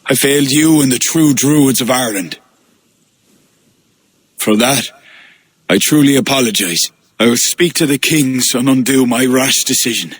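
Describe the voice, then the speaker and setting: A man's voice: mid-range voice, unhurried, pauses often, husky. An actor playing a proud but humbled king in a video game, earnest and formal in manner. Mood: contrite. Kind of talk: cinematic video game acting. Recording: faint game music behind the voice.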